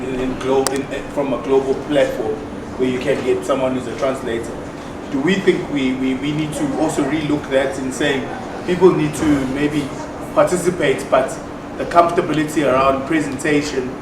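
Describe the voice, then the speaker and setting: Brief: male audience questioner, isiZulu native, rambling and quiet, distant mic in a noisy hall. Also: mid-range voice. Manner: rambling, many restarts, soft-spoken. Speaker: audience member asking a question. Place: seminar hall at a festival. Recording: roomy echo from far off, loud background noise.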